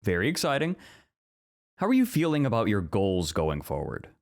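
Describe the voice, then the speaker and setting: Guy acting as a stuffy bookish professor, low voice, measured n articulate, asmr roleplay. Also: huge pitch swings. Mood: encouraging, attentive. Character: bookish, earnest male professor, easily flustered by teasing.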